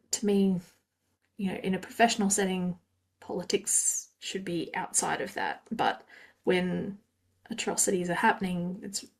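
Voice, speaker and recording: A woman's voice: medium pitch, darkish tone. A woman from Australia hosting a podcast, talking through her thoughts alone, musing and often trailing off with fillers. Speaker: podcast host. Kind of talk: solo reflective podcast talk.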